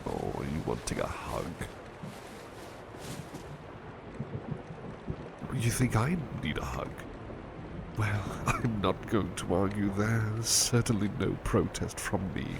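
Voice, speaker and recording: Gentle, affectionate butler played by a man for ASMR roleplay; low, expressively varied delivery, hesitant and stammering, over clear background noise. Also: very animated, unhurried, heavily acted. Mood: weary, affectionate. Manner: polite, hesitant, stammering, tender. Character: gentle, devoted, flustered male butler, tired and affectionate. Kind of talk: intimate ASMR roleplay, soft-spoken.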